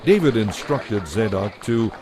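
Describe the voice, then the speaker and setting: A man's voice: fairly low pitch, lively intonation, measured pace, noisy background. A man narrating a dramatized Bible story from a script.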